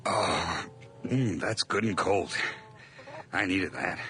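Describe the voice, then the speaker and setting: A man playing a nervous, haunted madman drifter in a 1980s radio horror drama, halting and theatrical, dropping into whispers. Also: sits low, fairly bright tone. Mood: weary, guarded. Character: nervous, haunted madman drifter and farmhand telling his tale.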